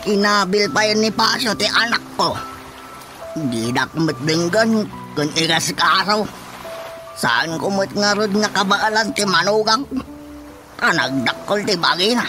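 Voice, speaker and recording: An older male villager, an aggrieved father, complains in a notably high voice. He is plaintive and grumbling, in the manner of Ilocano radio comedy, over soft music. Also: expressive, unhurried. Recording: faint music under the dialogue. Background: faint background music.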